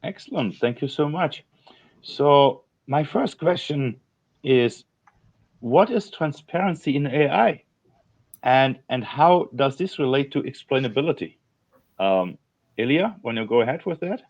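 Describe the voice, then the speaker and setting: An older man in his sixties hosts an online panel with polite, formal prompts. His mid-pitched voice comes over a video call that sounds a little dull. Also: expressive, measured pace, a little mellow. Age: 60s. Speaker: panel host. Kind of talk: moderating an online expert panel.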